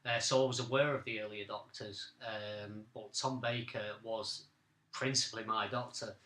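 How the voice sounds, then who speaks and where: A man's voice: fairly low pitch, steady tone, normal speed, fairly bright tone. A man of about forty co-hosting a podcast, reminiscing in casual conversation. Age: late 30s to early 40s. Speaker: podcast co-host. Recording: roomy, echoing amateur recording.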